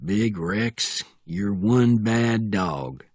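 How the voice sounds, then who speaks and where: Man doing a husky Southern guard driver. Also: fairly low pitch, animated, extremely slow, darkish tone, dry recording, studio quality, full character voice. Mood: quiet scolding. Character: Southern male guard driver, scolding his dog.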